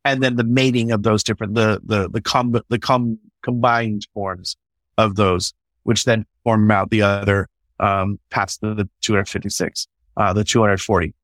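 A man's voice: fairly low pitch, steady tone, normal speed, a bit bright, no room sound. Enthusiastic swearing man, dry sound. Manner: enthusiastic, swears casually, many restarts. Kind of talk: conversational podcast discussion.

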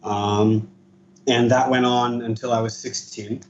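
A man's voice: medium pitch, level tone, unhurried, a little mellow, highs cut off, slight background noise. A man in his late twenties from the East Coast of the United States, a podcast guest who speaks hesitantly with many fillers. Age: 20s. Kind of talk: casual interview.

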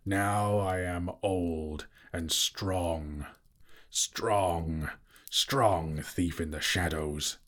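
A man voicing a huge old boastful dragon in a storybook, proud and menacing, with slow, gloating, grandiose delivery. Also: sits low, fairly even intonation, a bit bright, full character voice. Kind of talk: audiobook character voice.

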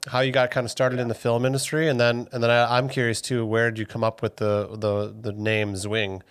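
Smooth-voiced man in his thirties. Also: medium pitch, flat delivery, a little fast, dry recording. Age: 30s. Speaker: podcast host. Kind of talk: casual interview.

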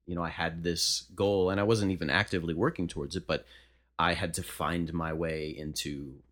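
Man, 20s, very low baritone, casual podcast storytelling, measured. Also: steady tone, fairly bright tone. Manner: measured, anecdotal. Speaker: band singer on a podcast.

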